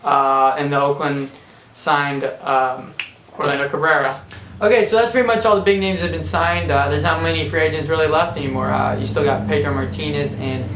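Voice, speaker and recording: A man's voice: a little high, fairly even intonation, fairly quick, fairly bright tone, a little hiss or hum. A man a little under the weather, co-hosting a casual sports chat and giving blunt opinions with plenty of fillers. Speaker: podcast co-host. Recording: echoey room, far from the mic.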